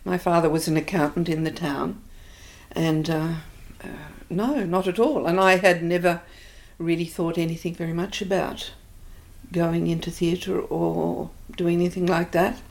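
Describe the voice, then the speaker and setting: An older woman with an Australian accent, thoughtfully recalling her life in an interview, pausing often. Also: lowish voice, little pitch movement, gravelly, darkish tone. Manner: reflective, hesitant with many fillers. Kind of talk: oral history interview.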